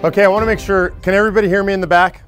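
A man in his forties giving a loud, casual, joking talk to a live audience of supporters. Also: high-pitched, level tone, racing, music in the background. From Northern California. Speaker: speaker addressing supporters. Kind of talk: casual stump speech.

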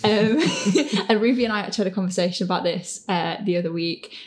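A woman hosting a casual, giggly chat, animated and often acting out imagined reactions. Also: mid-range voice, fast, a little hiss or hum. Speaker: host and podcast creator answering listener questions.

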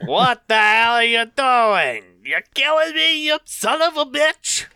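A man voicing a young female chipmunk in a screechy, panicked falsetto. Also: expressive, slow, gravelly, a little mellow, smooth, no room sound, studio quality, heavily acted. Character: young female chipmunk, scared then furious.

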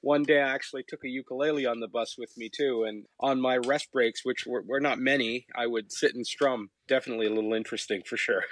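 A middle-aged man from Canada with a fairly low voice, talking about his life in a relaxed, reflective way. He tells his stories with a few hesitant uh's along the way. The sound is dry and close, with no room around it. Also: fast, hardly any pauses, dry recording. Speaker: musician guest. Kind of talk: relaxed interview answers.

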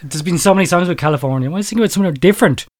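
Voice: mid-range voice, expressive, racing, a little mellow. A radio co-host with crude, sarcastic delivery, bantering irreverently on a comic music show.

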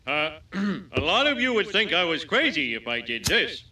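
A man's voice: a little high, sing-song, moderate pace. A man speaking earnestly as a power plant spokesman in a promotional clip. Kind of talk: promotional video voice. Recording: distant clip with faint noise.

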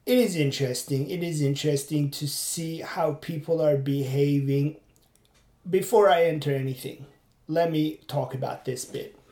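A man's voice: on the high side, moderate pace, dark tone, reverberant. A man from Turkey hosting a casual podcast monologue while running, rambling and reflective with many fillers. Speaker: podcast host and comedian.

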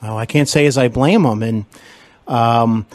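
A man's voice: medium pitch, unhurried, no echo. Courteous American man hosting, close dry sound. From Cincinnati, Ohio, United States. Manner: courteous, effusive, wordy. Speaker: podcast host and interviewer. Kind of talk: conversational interview.

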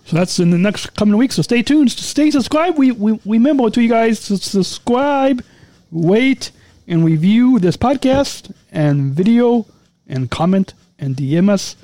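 A man's voice: high-pitched, lively intonation, a little slow, mellow, dry recording. A man co-hosting a casual podcast, signing off with repeated, stumbling, upbeat plugs. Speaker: podcast co-host.

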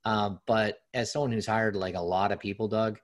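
A man hosting a sports podcast with a raspy voice, talking loosely and conversationally with lots of fillers and restarts. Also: lowish voice, fairly even intonation, normal speed. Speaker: podcast host. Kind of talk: casual sports podcast talk.

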